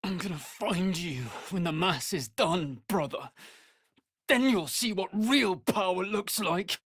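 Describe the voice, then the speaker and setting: A man's voice: sits high, expressive, slow, fairly bright tone, husky, no echo, studio quality, full character voice. An actor playing an arrogant, sneering young male vampire spawn in a video game, haughty and taunting, with a drawling delivery. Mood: menacing. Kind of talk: dramatic video game character acting.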